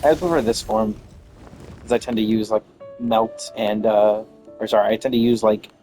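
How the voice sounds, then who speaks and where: A man's voice: fairly low pitch, normal speed, mellow. Offhand man commenting on his gameplay. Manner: rambling, offhand. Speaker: gamer, streamer. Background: game music.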